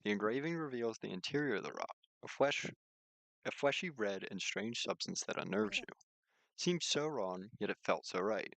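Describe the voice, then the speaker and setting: Low-pitched man reading a game aloud. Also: animated, normal speed, creaky, a bit bright, no echo, rough recording. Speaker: video game streamer. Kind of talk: reading visual novel narration aloud with casual commentary.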